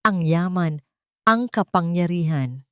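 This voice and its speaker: A woman with a Filipino accent voicing a spiteful, envious female villain in an audiobook, shrill and venomous. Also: animated, takes it slowly, fairly bright tone, clean tone, dry recording, clean studio sound. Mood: bitter envy.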